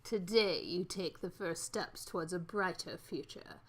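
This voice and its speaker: A woman's voice: lowish voice, unhurried, pitched below the performer's own voice. A woman voicing a cold, authoritative female executive who speaks in curt, formal sentences.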